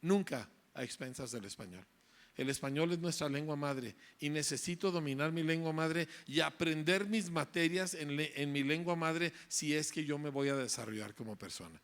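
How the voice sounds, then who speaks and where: A man's voice: a little high, normal speed, a bit bright. A man giving an emphatic lecture to parents and teachers, driving points home with repeated phrases and tag questions. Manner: emphatic, repetitive rhetorical lecturing with frequent "¿verdad?".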